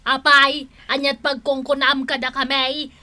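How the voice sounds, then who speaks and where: High-pitched, chattering Ilocano woman. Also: flat delivery, measured pace, bright, forceful tone, heavily acted. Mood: chatty. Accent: northern Philippine, Ilocano. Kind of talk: Ilocano radio drama acting.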